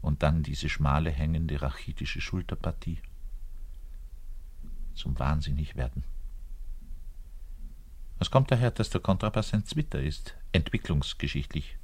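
Deep voiced middle-aged orchestra musician, lonely and bitter, grandiloquent radio play monologue. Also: male voice, unhurried, long pauses, a little mellow, full character voice. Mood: bitter, loathing. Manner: grandiloquent, swinging between outbursts and muttering. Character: lonely, bitter, self-pitying orchestral double bass player, man. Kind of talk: theatrical monologue addressing the listener.

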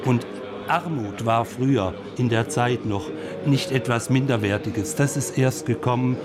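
A man giving reflective commentary, musing haltingly and circling back over his phrases. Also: mid-range voice, background noise. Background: music.

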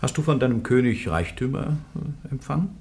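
A male church interrogator, stern and probing, pressing question after question. His voice swings widely in pitch, insistent and at times sharp, in a radio play courtroom. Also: expressive, moderate pace, gravelly. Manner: insistent, rising to sharp accusations. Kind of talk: radio drama acting.

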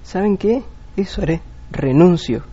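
Someone acting a grumbly overworked elf prefect in a radio play, mellow gravelly voice, dull lo-fi audio. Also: mid-range voice, expressive, measured pace. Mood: defiant. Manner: grumbling, complaining. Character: whiny, fed-up prefect elf who refuses to work. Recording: dull lo-fi home recording.

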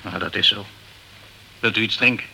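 An actor playing a gruff, guarded male sea captain in a Dutch radio crime drama, speaking tersely. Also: on the low side, moderate pace, bright, forceful tone, slight background noise, exaggerated voice, poor audio. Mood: casual.

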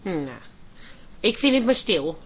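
A woman's voice: mid-range voice, very animated, a little slow, slightly rough. An actress playing a kindly, easily flustered café woman in a Dutch radio drama, hesitant and placating. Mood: wistful.

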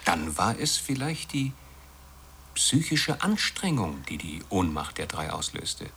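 A reflective researcher in a science fiction radio play,. The voice sits low and stays serious and measured. Faint noise lies behind it. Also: male voice, sing-song, creaky, a bit bright, full character voice. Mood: sober, speculating. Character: sober, reflective male moon station colleague Pete. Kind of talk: radio drama acting.

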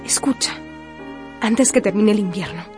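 A woman playing a spirited, proud young gentlewoman in a radio drama, earnest and self-possessed. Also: medium pitch, moderate pace, faint music behind, close to an everyday voice. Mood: firm, reassuring.

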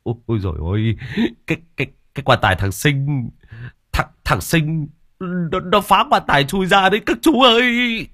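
A man's voice: on the high side, lively intonation, moderate pace, a bit bright, pitched above the performer's own voice. A man voicing a terrified young villager who stammers and cries out in alarm. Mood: panicked.

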